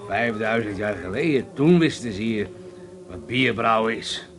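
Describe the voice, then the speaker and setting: An actor playing a crude, boozy, domineering older man in a Dutch radio play, gruff and mocking, given to swearing bluster. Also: on the low side, animated, normal speed, soft background music. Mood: sneering bluster.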